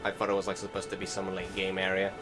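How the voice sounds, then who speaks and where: Male game commentator, lower register, casual and meandering delivery with hesitations, clear music bed underneath. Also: monotone, quick pace. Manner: rambling, hesitant, swears casually. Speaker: gaming video commentator. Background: game music behind the voice.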